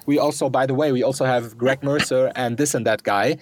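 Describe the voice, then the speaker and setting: A man's voice: medium pitch, fairly even intonation. Eager chatty male podcast host. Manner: chatty, eager, quick interjections. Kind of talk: casual interview talk.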